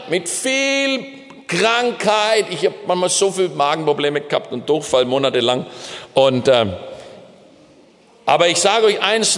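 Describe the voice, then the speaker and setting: A middle-aged man telling his life story as a missionary preacher, emphatic and animated, with his voice rising and dropping as he recounts it. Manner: emphatic storytelling with swings in pitch and pace. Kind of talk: sermon testimony. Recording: long reverb of a large hall.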